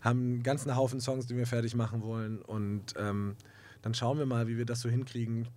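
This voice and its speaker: A man who is a musician giving relaxed interview answers, rambling and filling his speech with hesitations. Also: medium pitch, fairly quick. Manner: relaxed, many fillers like "irgendwie" and "ähm". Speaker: musician guest.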